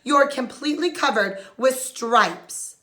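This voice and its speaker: A woman's voice: high voice, takes it slowly, sharp tone, voice pushed up, exaggerated voice. Woman acting dramatic, anxious storybook mother. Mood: shocked.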